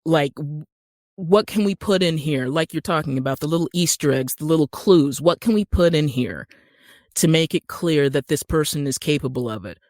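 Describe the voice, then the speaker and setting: A woman with an American accent, talking as an interview guest in a conversational, animated storytelling way that acts out bits of dialogue. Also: low voice, moderate pace, no echo, clean studio sound. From Utah, United States. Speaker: guest, actor and screenwriter.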